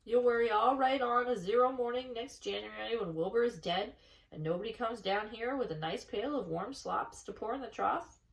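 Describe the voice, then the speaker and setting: A woman's voice: on the high side, normal speed, creaky. Woman as a firm old sheep, echoey. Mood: stern, persuading. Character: wise old sheep, persuasive and blunt. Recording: echoey room, distant mic.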